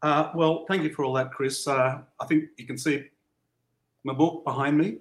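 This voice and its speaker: A man's voice: fairly high pitch, steady tone, moderate pace. A man from Australia, an upbeat author being interviewed as a podcast guest. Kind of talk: casual interview chat.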